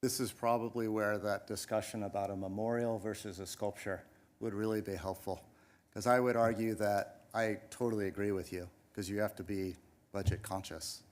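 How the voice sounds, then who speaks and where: A man's voice: lowish voice, steady tone, moderate pace. A man presenting a project to a city commission, hesitant with many restarts, then emphatic. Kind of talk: public meeting discussion. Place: council chamber.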